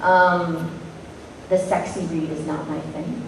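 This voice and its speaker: A woman voice actress answering questions on a convention panel, hesitant and thoughtful, with many pauses. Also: deep voice, level tone, a little hiss or hum. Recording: echoey hall from far off.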